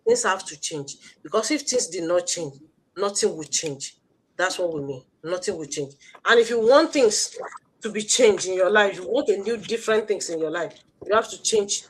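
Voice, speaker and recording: Emphatic Nigerian woman, preacher-like pitch. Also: expressive, moderate pace, slight background noise, rough recording. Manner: emphatic, repetitive, preacher-like exhortation. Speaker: host of an online business promotion livestream. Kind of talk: motivational pitch to viewers.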